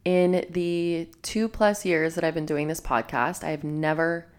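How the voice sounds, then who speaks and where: Young female podcast host, a little congested from a cold, earnest and reflective, lower register. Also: fairly even intonation, unhurried, clear, resonant tone. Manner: earnest, self-help tone. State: congested, slightly nasal from a cold. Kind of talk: reflective solo podcast talk.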